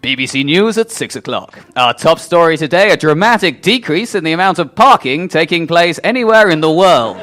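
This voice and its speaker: A man's voice: on the high side, animated, a little fast, few pauses, a bit bright, soft background music. A man playing a formal radio newsreader in a comic mock bulletin. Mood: formal, deadpan.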